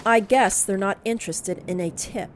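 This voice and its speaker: An actress playing a sassy, demanding wife on holiday in an audio horror drama, with a tart, haughty delivery. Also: female voice, medium pitch, moderate pace, slight background noise, natural voice. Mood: breezy, sardonic.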